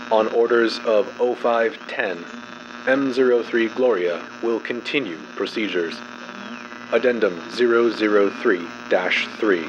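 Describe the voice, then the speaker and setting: Male narrator, lowish voice, formal and clinical dramatic reading, clear hum in the recording. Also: dull audio. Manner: formal, measured, clinical delivery.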